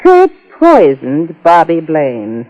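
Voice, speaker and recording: An actress playing a haughty, scornful wife in arch, theatrical 1950s radio style. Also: female voice, sits low, wildly swooping pitch, slow, a little mellow, heavily acted. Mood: accusing. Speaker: radio drama actor. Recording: muffled old radio recording.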